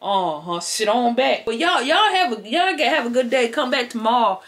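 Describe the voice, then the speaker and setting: A woman's voice: a little high, expressive, moderate pace, a bit bright. A woman playing a sassy old American grandmother, stammering and repeating herself as she tells folksy tall tales on camera. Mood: defensive, sassy. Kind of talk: improvised comedic storytelling. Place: living room couch, indoors. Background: background music.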